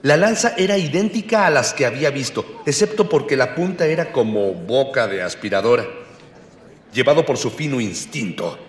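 An actor playing a florid, mock-solemn storyteller, narrating a scripted radio drama in archaic phrasing. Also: male voice, mid-range voice, expressive, moderate pace, close to an everyday voice. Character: mock-literary male storyteller, hero's friend. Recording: echoey hall reverb.

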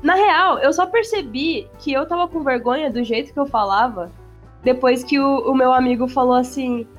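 A woman with a São Paulo accent chatting casually, full of slang and swearing, speeding up when she gets excited. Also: sits high, normal speed, highs cut off, faint music behind. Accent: São Paulo Portuguese. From São Paulo, Brazil. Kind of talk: casual chat.

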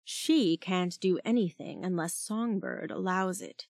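A woman's voice: fairly low pitch, measured pace, vocal fry, a little mellow, dry recording, studio quality. A woman voicing a cool, fiercely loyal male fire spirit in an audiobook, taunting and menacing. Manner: snarling, taunting.

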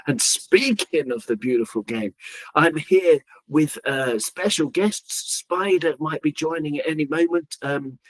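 A man's voice: a little high, unhurried, low-quality recording. Chatty man hosting a football podcast. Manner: chatty, joking, lots of fillers. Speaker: podcast host. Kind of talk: casual football podcast chat.

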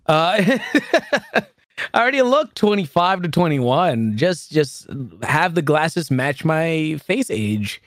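A streamer in his thirties talks loosely and rambles, laughing easily and making fun of himself. His voice sits a little high for a man. The sound is dry and close. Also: very animated, takes it slowly, a bit bright, no echo. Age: 30s. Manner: laughing often, rambling, self-deprecating. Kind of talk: casual chat.

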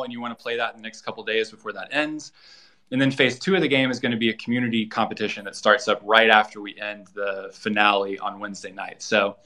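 Bright-voiced man explaining casually. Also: mid-range voice. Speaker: guest, co-creator of an audio drama. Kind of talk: casual promotional interview talk.

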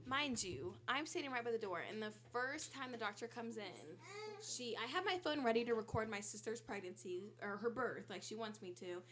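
Woman, twenties, upbeat casual co-host, a little high-voiced. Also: expressive, brisk, a bit bright. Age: 20s. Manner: friendly, rambling, upbeat. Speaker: podcast co-host. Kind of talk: casual chat.

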